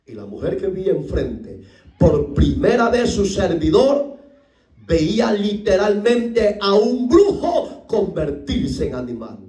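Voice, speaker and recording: A middle-aged man from El Salvador with a Salvadoran accent, preaching a sermon as a pastor and acting out the voices in his stories, rising to shouts. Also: high-pitched, big pitch swings, slow, recorded from a distance. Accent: Salvadoran Spanish. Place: church hall. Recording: echoey room, voice from off the mic.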